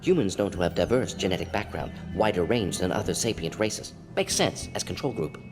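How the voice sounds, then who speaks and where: Rapid-fire, clipped alien scientist. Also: male voice, sits low, expressive. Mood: matter-of-fact. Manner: clipped telegraphic phrases, thinking aloud, asking and answering himself. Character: brisk alien salamander-like scientist doctor, restless, pragmatic. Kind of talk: video game character acting. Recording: long reverb, music behind.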